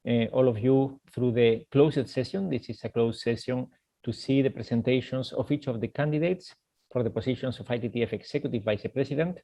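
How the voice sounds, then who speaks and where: A man's voice: medium pitch, warm tone. Formal man chairing an online meeting. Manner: measured and formal, with frequent "uh" pauses. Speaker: meeting chair, secretary general.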